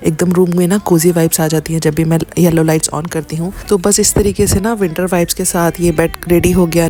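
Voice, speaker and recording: A woman's voice: fairly low pitch, warm tone. A woman in her thirties doing a casual, chatty home vlog voice-over, mixing English words into her speech. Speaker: home and lifestyle vlogger. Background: background music.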